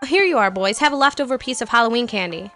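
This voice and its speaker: Woman playing a high, chirpy butcher's wife. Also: lively intonation, quick pace, sharp tone, faint music behind. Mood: motherly. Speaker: voice actor. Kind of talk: amateur audio drama acting.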